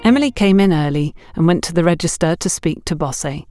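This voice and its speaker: A woman's voice: lowish voice, fairly quick, warm tone, no room sound, studio quality, soft background music. A woman reading scripted mystery fiction as an audiobook narrator.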